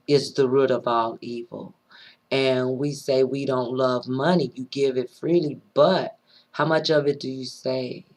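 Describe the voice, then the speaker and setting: A woman with a rough voice giving an earnest, preachy monologue, pausing often and repeating her words as she thinks aloud. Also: extremely low pitch. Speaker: vlogger sharing personal views. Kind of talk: unscripted spoken monologue.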